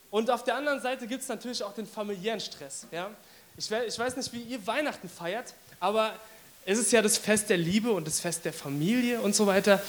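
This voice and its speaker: Hoarse man preaching, high voice. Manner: chatty, with many fillers. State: hoarse from a cold. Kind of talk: casual sermon to a congregation.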